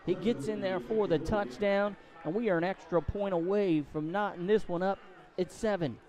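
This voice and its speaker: A man doing excitable live play-by-play commentary of a high school football game, outdoors at the stadium. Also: moderate pace. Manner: excitable, voice climbs to shouts on big plays. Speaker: play-by-play sports announcer.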